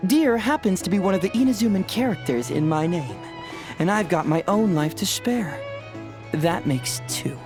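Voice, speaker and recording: Breezy, animated young detective. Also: male voice, high-pitched, over music. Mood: playful, breezy. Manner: chatty, theatrical, courteous, quick to amuse. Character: cheerful, breezy young male detective from a fantasy land. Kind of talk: animated game voice acting.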